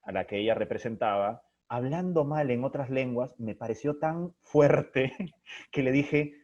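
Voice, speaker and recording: A man's voice: medium pitch, unhurried, a little mellow. Man in a muffled interview call. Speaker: interview guest, theatre playwright and director. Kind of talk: reflective interview conversation.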